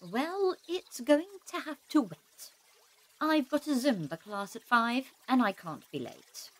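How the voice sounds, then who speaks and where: A woman's voice: high voice, lively intonation, slow pace, full character voice, poor audio. A woman playing a flustered, hesitant female lab assistant in an audio drama. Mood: matter-of-fact. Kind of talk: fan audio drama acting.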